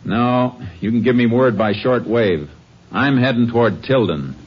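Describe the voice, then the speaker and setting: An actor playing a calm, laconic American Texas Ranger in a fifties radio western, businesslike in dialogue and narration. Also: male voice, medium pitch, a little slow, noisy background, lightly acted. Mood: brisk, businesslike. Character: steady, laconic Texas Ranger lawman, male. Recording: muffled 1950s radio recording.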